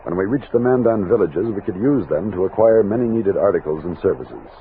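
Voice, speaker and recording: Frontier captain: commanding yet thoughtful, low animated voice, radio western, narrow vintage sound. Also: male voice, brisk, a little hiss or hum. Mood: grave, reflective. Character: steady male expedition captain, commanding, thoughtful frontier leader. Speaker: narrator and lead actor. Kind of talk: old-time radio western drama, narrated and acted. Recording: narrow-band vintage radio recording with music.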